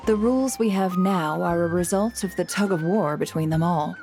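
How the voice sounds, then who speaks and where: Woman as a composed, lowish-voiced guild leader. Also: close to an everyday voice. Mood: poised, diplomatic. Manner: measured, formal, courteous. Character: composed, diplomatic woman in charge of a trade guild. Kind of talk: video game dialogue acting. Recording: clear music behind.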